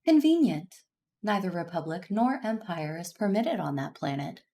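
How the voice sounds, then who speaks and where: A woman playing a solemn, conflicted but resolute Jedi warrior in an audio drama, speaking in a measured, earnest way. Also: on the low side, animated, mellow. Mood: cold, resolute. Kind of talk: first-person narration and dialogue in audio drama.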